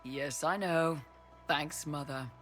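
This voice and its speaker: An actor playing a cheeky, loyal young mercenary lieutenant in a fantasy video game, brisk and wry. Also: male voice, on the high side, fairly bright tone, soft background music.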